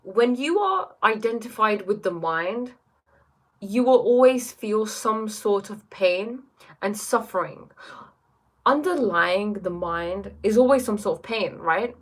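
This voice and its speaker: A woman's voice: mid-range voice, lively intonation, slow. Hesitant young woman livestream host. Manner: hesitant, lots of fillers and "like", reads comments aloud. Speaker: livestream host answering viewer questions. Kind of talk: casual livestream Q&A on spirituality.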